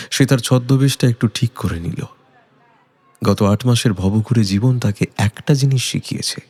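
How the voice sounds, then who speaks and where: A man giving a dramatic, suspenseful scripted narration of a detective story in a measured way. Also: mid-range voice, gravelly, dark tone, husky.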